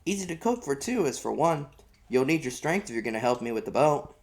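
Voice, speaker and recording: A man's voice: mid-range voice, expressive, fairly quick, gravelly. A man plays a plucky young boy from a storybook. He is earnest and determined, with folksy turns of speech and a lifted, eager delivery. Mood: cheerful.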